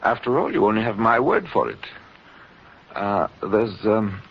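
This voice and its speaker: An actor playing a suave, coolly menacing doctor with measured, polite speech in 1940s radio style. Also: male voice, lowish voice, sing-song, slightly rough, heavily acted. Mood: smooth, apologetic. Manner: measured, polite, sinister, mock-hesitant. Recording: dull, lo-fi 1940s radio recording.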